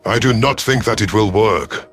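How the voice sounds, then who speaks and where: A man's voice: on the low side, normal speed, fairly bright tone, faint music behind. A man voicing a grey alien warlord who speaks stiffly and formally, without contractions. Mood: earnest.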